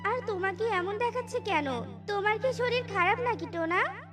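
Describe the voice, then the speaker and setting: Casting: fretful cartoon wife bird, very high voice, played by a woman, music underneath. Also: normal speed. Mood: worried. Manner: fretful, pleading. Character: Tuni, anxious devoted wife bird.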